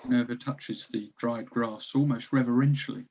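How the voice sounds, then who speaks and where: Male narrator for a table read, scene directions, average pitch, slightly dull recording. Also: fairly even intonation, moderate pace, gravelly, darkish tone. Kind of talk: scripted narration of a screenplay.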